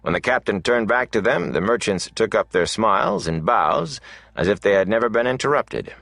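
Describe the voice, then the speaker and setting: A man narrating a fantasy novel as an audiobook, in a measured, dramatic storytelling manner. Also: lowish voice, animated, gravelly, fairly bright tone, no echo.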